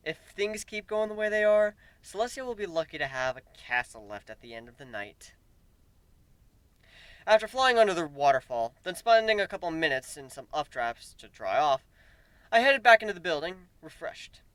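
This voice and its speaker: An expressive man narrates a story with lively, swinging intonation. His voice is slightly high, clean and bright, with an emphatic dramatic delivery. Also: moderate pace, smooth, dry recording. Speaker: amateur story reader.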